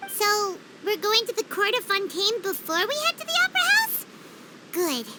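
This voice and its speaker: A woman voicing a tiny, chirpy and bossy fairy companion in a game cutscene dub, squeaky and excitable. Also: moderate pace, heavy background noise. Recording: game score underneath.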